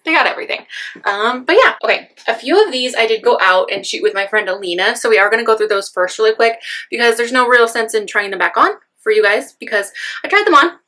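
A woman's voice: a little high, animated, fast, sharp tone. A young woman chatting through a clothing try-on haul, gushing over each piece, a little sniffly from a sinus infection, recorded in a decorated room with clothing racks. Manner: bubbly and gushing, many "so cute" exclamations. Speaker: fashion haul vlogger. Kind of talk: casual try-on haul chat.

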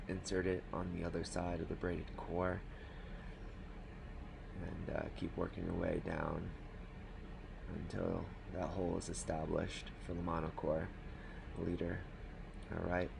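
A man calmly explaining a fishing knot step by step at a desk indoors. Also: sits low, vocal fry, background noise. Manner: calm, matter-of-fact, unhurried. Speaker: fly fishing tutorial host. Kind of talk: step-by-step instructional talk. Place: small indoor room at a desk.

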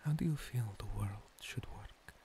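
A man playing a gentle, long-lived monster cleric in a whispered ASMR roleplay, breathy, courteous and a little hesitant, with formal phrasing. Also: fairly low pitch, unhurried, noisy background. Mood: calm, reflective.

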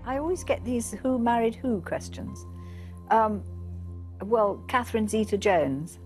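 A woman's voice: fairly high pitch, lively intonation, a little slow, darkish tone, faint music behind. An older woman on a quiz team in a TV studio, talking in a flustered, self-mocking way.

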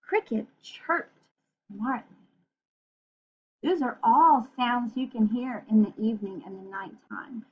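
A woman reading a picture book aloud for children in an expressive, gentle way, with chatty asides. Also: fairly high pitch, slow pace, breathy edge. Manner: expressive, gentle, addressing young listeners. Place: small room at home. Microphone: computer webcam at arm's length. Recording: dull, muffled webcam recording.

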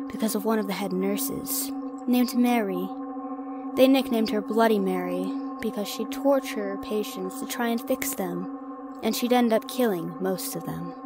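A woman's voice: medium pitch, moderate pace, music in the background, lightly acted. A woman acting a cocky young ghost-hunting vlogger in an audio drama, brash and teasing. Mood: spooky storytelling. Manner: brash, teasing, talks herself up.

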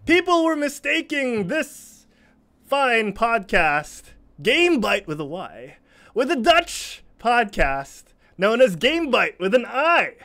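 A man's voice: very high voice, big pitch swings, takes it slowly, sharp tone. A man hosting a casual gaming podcast over a video call, reading out listener reviews with a playful, announcer-like flair. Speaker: podcast host.

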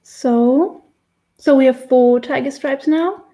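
A woman's voice: high voice, slow pace, mellow. A woman in her thirties with a German accent, casually teaching face painting on a live stream in a small room, chatty and laughing often. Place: small indoor room with decorated wall.

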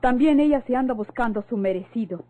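A woman's voice: fairly high pitch, animated, brisk, natural voice. Woman acting a scornful Mexican villainess, tinny recording. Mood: spiteful. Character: mocking, spiteful, scornful villainess. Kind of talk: vintage Mexican radionovela acting. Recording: thin phone-like old radio recording.

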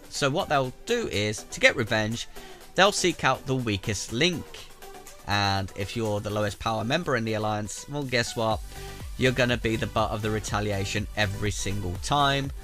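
A man's voice: medium pitch, bright, forceful tone. A man with a cold, bunged up, casually narrating a game tutorial over gameplay and giving chatty, direct advice to viewers. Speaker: gaming YouTuber. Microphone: voice-over over gameplay capture.

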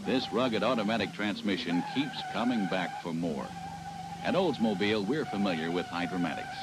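A man's voice: fairly low pitch, lively intonation, moderate pace, heavy background noise. An American man narrating a scripted 1970s promotional sales film in a polished announcer's delivery. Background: music.

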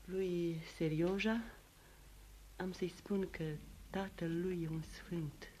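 A solicitous, effusive aristocratic lady played by an actress in a vintage radio drama. Her voice is fairly low and mellow, on an old recording with faint noise. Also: steady tone, natural voice. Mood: solicitous, determined. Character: solicitous aristocratic princess, pious, effusive, managing. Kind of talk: vintage radio theatre acting.

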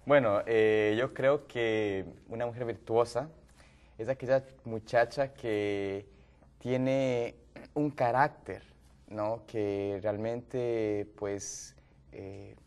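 A young man from Ecuador on a studio panel, speaking thoughtfully with many pauses and fillers. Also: lowish voice, steady tone, darkish tone. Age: young adult. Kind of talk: casual discussion. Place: TV studio set. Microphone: lapel mic.

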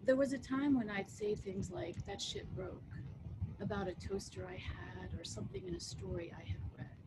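A middle-aged American woman poet giving a reading of her work, with measured, deliberate phrasing. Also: medium pitch, fairly even intonation. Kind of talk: poetry reading with casual introductions. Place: book-lined wood-panelled room at home, indoors. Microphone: computer mic on video call. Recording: very noisy video-call audio.

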